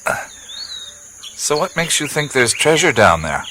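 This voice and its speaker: An actor playing a relaxed, wry adventurer in a radio drama, speaking in an easy drawl. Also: male voice, fairly high pitch, a little slow, background noise. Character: easygoing adventurer man, wry and dreamy. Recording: jungle ambience with insects and birds.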